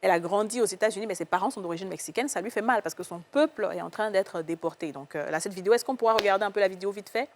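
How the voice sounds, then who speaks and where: A woman's voice: medium pitch, racing, hardly any pauses. A woman with an Ivorian accent hosting a casual panel discussion, speaking in a colloquial, exclamatory way. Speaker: talk show host.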